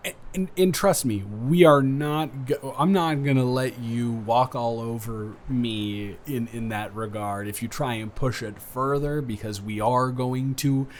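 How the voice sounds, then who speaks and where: A young man playing an earnest, awkward college student smitten with a dormmate, rambling and halting in an intimate roleplay audio drama. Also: medium pitch, slight background noise, lightly acted. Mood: eager, supportive. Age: young adult.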